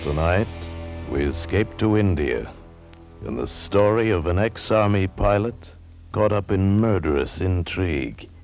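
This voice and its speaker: A man's voice: low-pitched, expressive, unhurried. A man announcing a drama in dramatic 1950s radio style. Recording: old radio broadcast, music behind.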